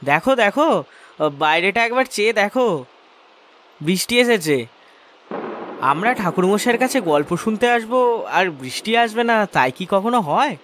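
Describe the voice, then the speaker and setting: Audio story role: eager, playful male listener, acted by a man, high voice, very lively swings. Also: heavily acted. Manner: animated, teasing. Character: Kishori, chatty eager friend of the storyteller, playful. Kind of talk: Bengali audio story acting.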